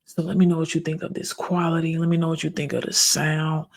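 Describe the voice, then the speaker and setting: A soft-spoken reaction video host talking casually and directly to viewers. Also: mid-range voice, flat delivery, a little fast, vocal fry. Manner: soft-spoken, calls it an ASMR voice; chatty, speaks directly to viewers.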